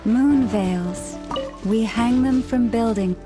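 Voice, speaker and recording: A woman voicing a gentle, courteous young woman in a video game, explaining in a polite, measured way. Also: fairly high pitch. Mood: calm, explanatory. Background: game music.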